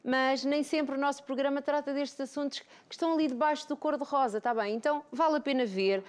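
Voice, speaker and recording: A woman's voice: sits high, fast talker. A woman from Portugal co-hosting a talk show, chatty and emphatic, often repeating her points. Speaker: co-host. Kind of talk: casual chat.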